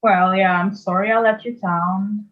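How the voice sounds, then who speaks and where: A woman's voice: mid-range voice, fairly even intonation, lightly acted. Woman playing an awkward schoolboy hero, dull sound. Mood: hurt protest. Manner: halting, protesting, conversational. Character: defensive, awkward schoolboy hero, misunderstood and sincere. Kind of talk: musical theatre table read. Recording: dull band-limited sound, music behind.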